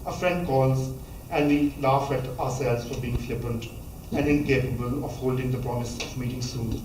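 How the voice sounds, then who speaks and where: A man, reading a memoir passage aloud at a measured pace, now and then stumbling and restarting a word. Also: medium pitch, fairly even intonation, darkish tone, a little hiss or hum. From Pakistan. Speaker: author reading from his book. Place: college lecture hall. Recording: echoey hall, voice from a distance, faint ambience.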